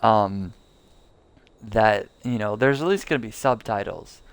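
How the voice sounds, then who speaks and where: A young man chatting over his gameplay in a loose, rambling way, full of fillers and restarts. His voice has a gravelly edge but stays smooth and clear underneath. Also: fairly low pitch, unhurried, dry recording. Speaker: streamer. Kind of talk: casual live gameplay commentary.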